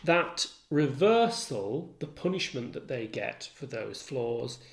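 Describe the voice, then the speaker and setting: Slow, deliberate male tutor in echoey room. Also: mid-range voice, expressive. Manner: deliberate, formal, precise wording. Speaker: English literature tutor. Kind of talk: explanatory lecture with asides. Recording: echoey, roomy sound.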